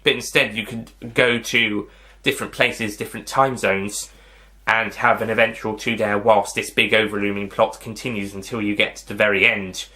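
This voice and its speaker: A man with a bright, forward voice presents a casual review to his viewers. He rambles a little, hesitating and circling back to reword his points as he goes. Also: lowish voice. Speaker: host of a video review channel.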